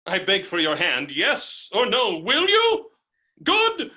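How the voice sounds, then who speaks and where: A man playing a high-pitched, blustering lovestruck landowner. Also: wildly swooping pitch, bright, forceful tone, heavily acted. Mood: desperate, lovestruck. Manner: theatrical, stammering, swings from halting to bellowing. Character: blustering, hot-headed landowner helplessly falling in love. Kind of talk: virtual staged reading of a stage farce.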